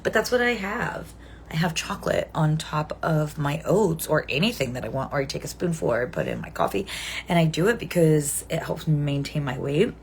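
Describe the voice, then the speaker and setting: Chatty woman in her forties, low gravelly voice. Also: very animated, a little fast, slight background noise. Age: 40s. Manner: chatty, rambling, self-correcting with frequent ums. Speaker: livestream host. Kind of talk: casual live chat with viewers.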